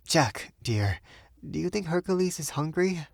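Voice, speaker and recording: A man voicing an anxious young woman who speaks softly and pleads with a trembling voice. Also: on the high side, expressive, measured pace, vocal fry, dry recording, studio quality, pitched above the performer's own voice.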